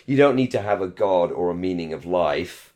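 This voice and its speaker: A man's voice: sits low, expressive, normal speed, darkish tone. A man co-hosting a podcast in casual discussion, rambling and repeating phrases for emphasis. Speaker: podcast co-host.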